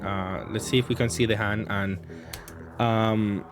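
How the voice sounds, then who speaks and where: Low-voiced man commentating his card games. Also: steady tone, a little slow, over music. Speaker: card game streamer, player commenting on own games. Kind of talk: casual off-the-cuff gameplay commentary.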